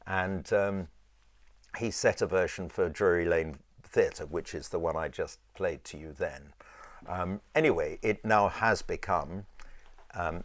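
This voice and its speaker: A man with a low voice teaching a choir in an informal, rambling and halting conversational way. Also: no echo. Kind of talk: informal instructional talk with sung demonstrations.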